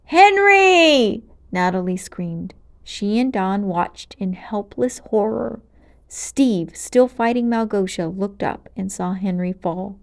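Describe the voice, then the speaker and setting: A woman narrating a children's storybook aloud in an expressive, dramatic and clearly paced way. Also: medium pitch, slow pace.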